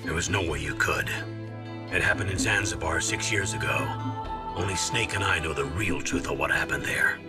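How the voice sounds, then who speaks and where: A man's voice: low voice, normal speed, loud music behind the voice, lightly acted. A man playing a grave, earnest older military commander in a video game, speaking with authority. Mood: solemn. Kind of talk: dramatic video game acting.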